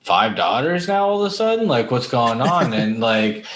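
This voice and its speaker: Informal dude on a podcast, swears a lot, echoey room. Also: male voice, medium pitch, very animated, normal speed, a bit bright, slight background noise. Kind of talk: casual podcast chat. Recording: echoey, roomy sound.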